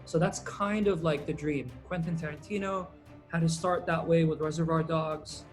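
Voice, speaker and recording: A man's voice: on the high side, normal speed, faint music behind. Laid-back man, filmmaker guest. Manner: relaxed, rambling, many ums and uhs. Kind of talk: casual interview answers.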